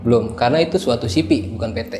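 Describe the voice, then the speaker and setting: A man's voice: medium pitch, rapid-fire, over music. A man of twenty-three, answering interview questions casually. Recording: echoey, faint background noise.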